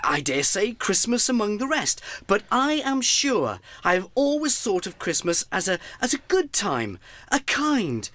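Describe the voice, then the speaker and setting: An actor playing a cheerful, warm-hearted young nephew in a Victorian radio play, hearty and earnest. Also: male voice, sits high, very animated, unhurried, full character voice.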